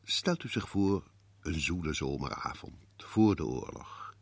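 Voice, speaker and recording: An actor playing the sinister male host of a horror radio play, narrating with slow, theatrical suspense over music. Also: rumbling low, animated, heavily acted. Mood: ominous.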